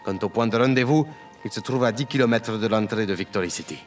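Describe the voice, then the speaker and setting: An actor playing an imposing, cold crime family boss, curt and authoritative, in an audio drama. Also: male voice, lowish voice, fast, close to an everyday voice. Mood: cold, commanding. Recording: music under the voice.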